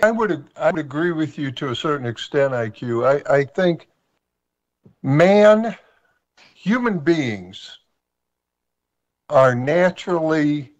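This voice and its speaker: Slow, measured male radio host. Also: on the high side, lively intonation, highs cut off. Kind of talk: radio talk show discussion.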